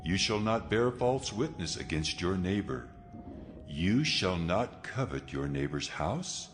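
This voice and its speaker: Stern God, deep register, solemn commanding delivery, audio scripture drama, clear background music. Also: male voice, big pitch swings, takes it slowly, heavily acted. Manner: solemn, declaratory. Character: God, stern commanding male deity giving laws. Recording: music behind the voice.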